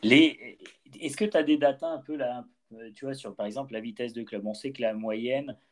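A man hosting a casual interview, asking halting, informal questions about golf. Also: medium pitch.

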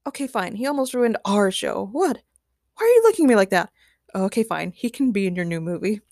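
A woman's voice: mid-range voice, very animated, a little fast, darkish tone, no room sound, studio quality. A woman voicing a fussy, exasperated man who protests incredulously in a comic story. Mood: nervous, grudging. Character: exasperated man Batch, fussy show host.

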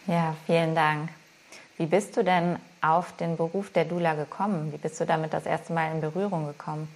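A woman hosting a podcast, calmly introducing the episode and gently asking her guest questions. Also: low voice, moderate pace. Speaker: podcast host.

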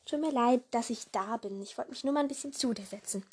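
A young woman with a hoarse voice hosting a casual podcast in a rambling way, full of fillers and self-corrections, jumping between voices. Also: fairly high pitch, quick pace. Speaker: podcast host.

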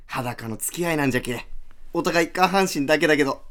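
Man acting brash Hiroshima-dialect comedian, higher voice. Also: fast, fairly bright tone, close to an everyday voice. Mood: brash, chummy. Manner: brash, chummy dialect banter. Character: pushy, shameless, over-friendly male aspiring comedian in toilet stall. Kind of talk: radio drama acting.

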